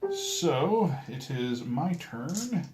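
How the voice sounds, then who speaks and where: A man's voice: a little high, extremely slow, soft background music. A man chatting casually through a tabletop game at a table, joking to viewers now and then. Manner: lively, jokey asides to viewers. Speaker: board game player and show regular. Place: room around a game table.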